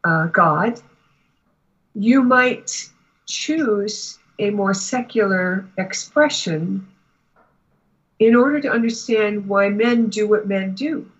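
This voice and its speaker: A woman giving a spiritual reading to a client in halting, deliberate phrases with long pauses. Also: mid-range voice, breathy edge, lo-fi sound. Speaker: Akashic Records reader, guest.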